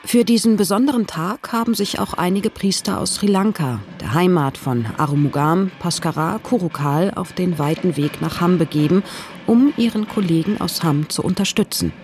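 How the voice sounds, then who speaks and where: Mid-pitched documentary narrator, steady read, light music bed. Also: moderate pace. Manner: even, informative reading.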